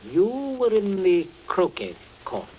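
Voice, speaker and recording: A man's voice: high voice, wildly swooping pitch, slow, exaggerated voice. An actor playing a middle-aged, domineering father, stern and clipped, coldly questioning his family in a radio drama. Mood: cold, controlled. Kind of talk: old radio drama acting. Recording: tinny, noisy old radio recording.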